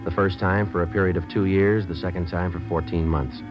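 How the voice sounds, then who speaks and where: A very low-voiced American police sergeant played by a male actor rattles off facts in a deadpan, businesslike way. It is old-time radio crime drama, dull in sound with music clearly behind. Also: brisk, close to an everyday voice. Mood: matter-of-fact. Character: terse, matter-of-fact male police sergeant detective. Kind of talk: 1950s radio drama narration and dialogue.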